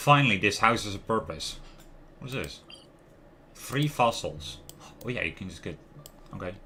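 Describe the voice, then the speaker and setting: A man hosting a livestream, giving casual, expressive, rambling game commentary addressed to his viewers. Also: on the low side, moderate pace, a little hiss or hum. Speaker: livestream host.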